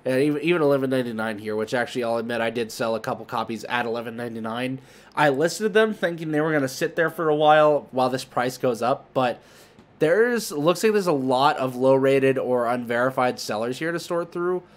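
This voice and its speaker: Male video host, medium pitch, casual off-the-cuff commentary, emphatic on key words. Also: lively intonation, fairly quick. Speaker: host of a trading card market video.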